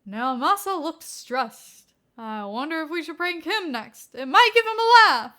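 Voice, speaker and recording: A woman plays an older male hero mentor in a fan fiction audio story. He is playful yet nervous and hesitant, speaking in a high, bright voice. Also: sing-song, a little slow, heavily acted. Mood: mischievous. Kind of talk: acted fan fiction dialogue.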